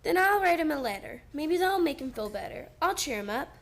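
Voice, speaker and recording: A woman's voice: high voice, sing-song, brisk, vocal fry, heavy background noise, full character voice. A woman playing an earnest, trusting little girl in a radio play. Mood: caring.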